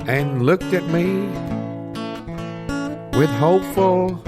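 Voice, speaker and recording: A man's voice: high-pitched, extremely slow. A man playing a folksy, wry older storyteller, reminiscing without hurry in an improvised comic monologue. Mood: wry, wistful. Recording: guitar music behind the voice. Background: plucked guitar music.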